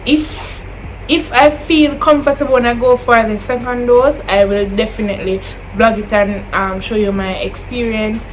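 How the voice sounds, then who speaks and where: A woman's voice: fairly high pitch, steady tone, moderate pace, slight background noise, rough recording. A twenty-year-old woman with a Jamaican accent, answering viewer questions in a casual chat to camera, slipping into Creole phrasing and repeating herself as she talks.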